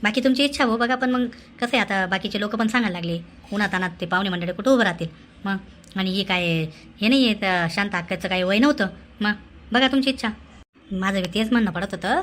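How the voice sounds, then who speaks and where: A woman's voice: medium pitch, fairly bright tone, a little hiss or hum, close to an everyday voice. A woman voicing a meddling, practical village man in a comic cartoon, coaxing and insistent.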